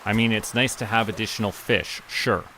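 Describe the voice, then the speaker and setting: A man's voice: fairly low pitch, fairly even intonation, normal speed, pushed and bright, a little hiss or hum, low-quality recording. A man giving casual live game commentary, talking to himself and his viewers as a streamer. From Canada.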